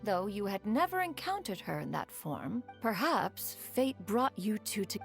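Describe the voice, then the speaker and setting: An actress playing a proud, caring crane immortal in a video game, with formal, archaic, stately speech. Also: female voice, medium pitch, sing-song, unhurried, vocal fry. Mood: solemn. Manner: formal, archaic, stately, speaks of herself as "one". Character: proud, aloof crane adeptus woman, a caring immortal master. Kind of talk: scripted game dialogue. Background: music.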